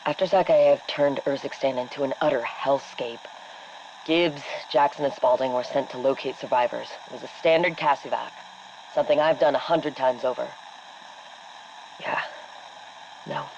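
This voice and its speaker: A woman playing a shaken, sardonic army helicopter captain, giving a halting, bitter debrief for a game audio log. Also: extremely low pitch, steady tone, darkish tone, lo-fi sound, slight background noise. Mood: weary, sardonic. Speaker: military helicopter exfil pilot.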